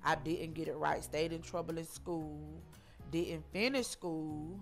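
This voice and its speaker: A woman in her forties tells her life story in an interview, her voice sitting on the lower side. She stops and starts, circles back and repeats herself, filling gaps with ums. Faint music plays behind her. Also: fairly even intonation, unhurried. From Georgia, US. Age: 40s. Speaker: interview guest.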